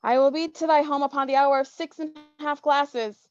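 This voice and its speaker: A woman's voice: high-pitched, steady tone, fairly quick, no room sound, close to an everyday voice. A woman playing a brash, bawdy teenage girl in a mock-Shakespearean staged reading, with playful, theatrical archaic speech. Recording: dull, dry lo-fi sound.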